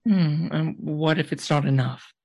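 A man's voice: fairly high pitch, a little slow, vocal fry, darkish tone, slightly rough, heavily acted. A man playing a distraught, angry young climate radical in a radio drama, insistent and accusing.

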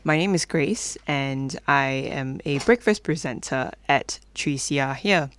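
A woman's voice: rumbling low, unhurried. A young woman from Malaysia casually presenting a music show on community radio. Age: young adult.

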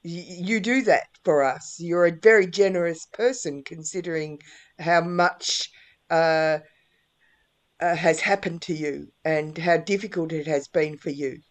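Gentle, lower-voiced woman interviewer. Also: unhurried. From Western country. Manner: gentle, hesitant questions with fillers. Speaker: radio interviewer. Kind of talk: radio interview.

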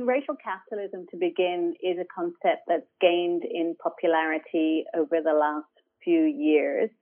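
Woman from ireland on a phone line, lowish voice, calm and explaining stuff like a lecturer. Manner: measured, thoughtful, explanatory. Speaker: academic guest. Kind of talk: radio interview, explaining. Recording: phone-line remote interview.

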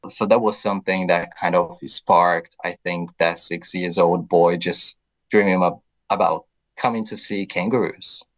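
A deep-voiced man with a Brazilian accent talks through his answers slowly and thoughtfully. He pauses on soft fillers as he gathers each thought. This is a relaxed radio interview guest. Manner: reflective and measured, many soft fillers.

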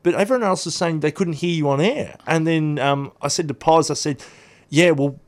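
A man's voice: fairly high pitch, normal speed. A young Australian man in his twenties, a radio guest telling long stories with many ums and restarts in a studio interview. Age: 20s.

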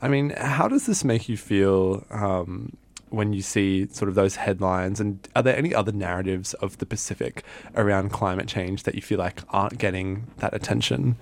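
A man hosting a radio interview. He asks questions gently and backs up his guest with quiet "mm"s and warm agreement. His voice is low, with a noticeable gravelly fry. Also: moderate pace. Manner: gentle, affirming questions with soft "mm"s.

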